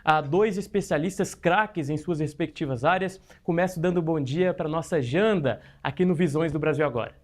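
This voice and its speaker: A man's voice: fairly high pitch, moderate pace, few pauses. A man hosting a studio talk show, upbeat and friendly, putting questions to his guests. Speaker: news show host.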